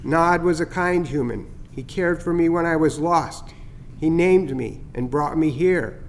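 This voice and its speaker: A man's voice: on the high side, unhurried, a little hiss or hum, natural voice. A man voicing an earnest young male snapping turtle who speaks matter-of-factly.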